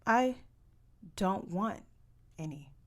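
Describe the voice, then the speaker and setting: A woman's voice: mid-range voice, animated, extremely slow. Young girl for a storybook, acted by an adult woman: sulky, defiant, a bit whiny. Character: stubborn, sulky young girl, resentful and pleading.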